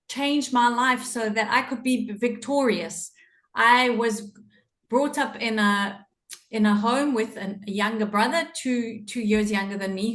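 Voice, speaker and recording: A middle-aged woman with a South African English accent tells her life story as a podcast guest. Her voice sits a little high, and the room around it is echoey. She talks earnestly and laughs easily. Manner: warm, earnest, laughs often. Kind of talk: personal storytelling in an interview.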